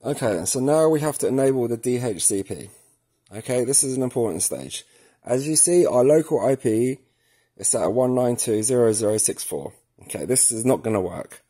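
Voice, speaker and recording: A man's voice: mid-range voice, lively intonation, moderate pace, warm tone, slightly rough. A man narrating a casual step-by-step tutorial, thinking aloud informally as he works with his hands. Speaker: product tutorial presenter. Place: indoors at a work table, filming hands and device.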